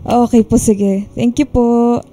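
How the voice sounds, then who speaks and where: A woman's voice: a little high, steady tone, slow pace, mellow. An actress playing a burned-out woman in her twenties, polite but feisty when provoked, in a Filipino radio drama. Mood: polite, weary.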